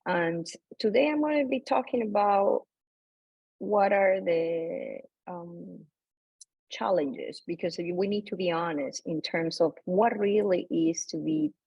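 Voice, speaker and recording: A woman from Peru with a Peruvian accent, presenting as an academic researcher in an unscripted, halting way over a remote video call. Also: on the low side, creaky, husky, dry recording. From Lima, Peru. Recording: dull lo-fi video call audio.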